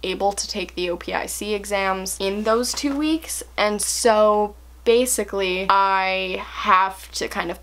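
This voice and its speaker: A woman's voice: medium pitch, vocal fry. Chatty young woman vlogging progress. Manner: chatty and candid, with frequent fillers and soft laughs. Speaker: language-learning vlogger. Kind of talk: casual talk to camera.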